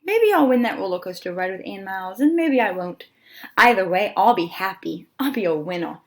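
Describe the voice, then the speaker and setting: A woman reading a children's picture book aloud as a storyteller, with expressive delivery and dramatic pauses. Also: mid-range voice. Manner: animated, deliberate phrasing with dramatic pauses.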